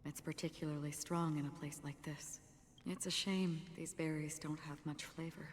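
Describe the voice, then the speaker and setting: An actress playing a calm, wistful wandering warrior woman with a soft, restrained voice in a video game cutscene. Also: deep voice, normal speed, a little hiss or hum, close to an everyday voice. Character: melancholy, soft-spoken wandering warrior woman losing her memories. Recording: long reverb, faint music. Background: faint music.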